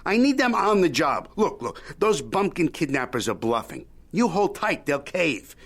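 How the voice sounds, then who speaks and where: An actor playing a shrewd, folksy old media mogul in an audio drama, haggling in a wry, needling, Yiddish-peppered way. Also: male voice, fairly high pitch, big pitch swings, moderate pace, a bit bright, heavily acted. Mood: scheming. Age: older.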